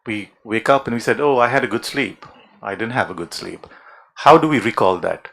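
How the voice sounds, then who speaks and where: A man's voice: fairly low pitch, expressive, fairly quick, vocal fry, a bit bright. A man moderating a panel discussion, speaking thoughtfully and hesitantly with many fillers.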